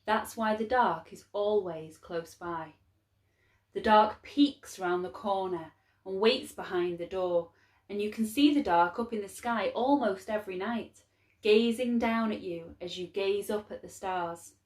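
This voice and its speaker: Slow-paced woman reading a children's story. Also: medium pitch, reverberant. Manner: measured, expressive, clearly phrased for children. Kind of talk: storytime read-aloud for young children.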